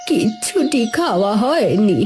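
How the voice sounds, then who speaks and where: A woman's voice: on the high side, sing-song, unhurried, darkish tone, soft background music. A woman voicing a poor, hungry old woman in a cartoon, humbly pleading. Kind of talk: cartoon voice acting.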